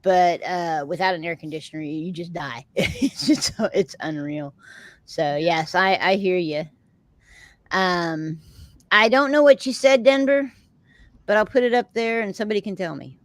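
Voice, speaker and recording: Chatty middle-aged Texan woman hosting a live chat, lowish voice, dry and close. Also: measured pace, dry recording. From Texas. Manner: chatty, rambling, laughs often. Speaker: host of a live chat show. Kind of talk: casual chat with viewers.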